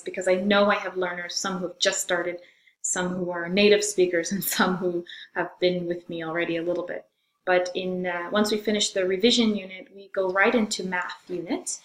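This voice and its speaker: Middle-aged female French teacher from Zambia, informal course presentation, halting phrasing, roomy echoing sound. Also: medium pitch, a bit bright, a little hiss or hum. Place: room in a house, indoors. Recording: echoey room sound.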